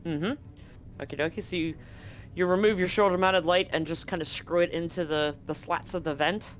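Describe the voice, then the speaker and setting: A man working as game master, improvising narration for a tabletop roleplaying game in a halting, deliberate way. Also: a little high, steady tone, a bit bright, slight background noise. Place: live-streamed game session.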